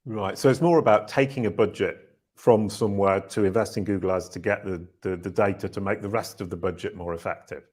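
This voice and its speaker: Host, fifties, from northern England; low voice, chatty and anecdotal on a video call. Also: male voice, steady tone, brisk, a little mellow. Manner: chatty, anecdotal, uses analogies. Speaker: podcast host. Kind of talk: casual interview chat. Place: home room, indoors.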